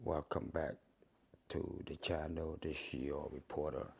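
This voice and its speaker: A man in his sixties from Cambodia with a Cambodian accent, rambling and halting to his viewers, with many fillers and restarts. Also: very deep voice, flat delivery, vocal fry, breathy edge. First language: Khmer. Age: 60s. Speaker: YouTuber. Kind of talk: casual vlog to camera.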